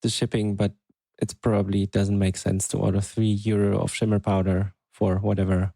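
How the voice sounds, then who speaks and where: A man with a low, gravelly voice chats casually on a podcast, thinking aloud and pausing often. The recording is dry and close, with no room sound. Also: flat delivery, dark tone, no room sound. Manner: unhurried, hesitant, thinking aloud. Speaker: podcast co-host. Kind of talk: casual chat.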